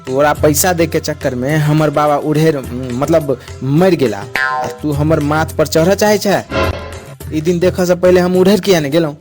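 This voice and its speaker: A man's voice: fairly high pitch, fairly even intonation, moderate pace, close to an everyday voice. A man voicing a melodramatic, scolding and sarcastic young creditor demanding his money in a comic cartoon. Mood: melodramatic complaint. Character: blustering, melodramatic young male moneylender friend. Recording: clear music behind the voice.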